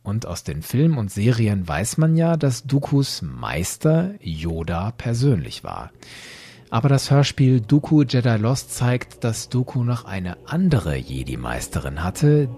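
A man's voice: medium pitch, very animated, normal speed, warm tone, faint music behind. A man hosting a podcast, narrating and explaining, posing questions and answering them. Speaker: podcast host.

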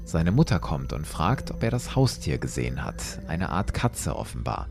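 A man's voice: rumbling low, very animated, moderate pace, gravelly. A man narrating a scripted story dramatically, as a host retelling it for listeners.

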